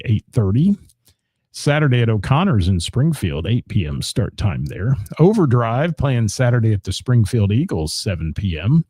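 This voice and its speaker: A man's voice: medium pitch, mellow, dry recording, studio quality. A man, hosting a live show and rattling off local gig listings with asides to the chat. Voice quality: gravelly voice. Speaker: radio host.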